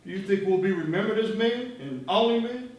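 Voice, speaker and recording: An actor playing a weary, outspoken activist in a stage play, brooding and intense. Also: male voice, sits high, expressive, brisk. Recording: echoey, distant stage recording, faint hiss.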